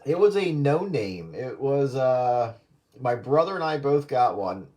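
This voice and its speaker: Man, average pitch, casual reminiscing, radio operator's conversational talk. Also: sing-song, a little slow, a little mellow.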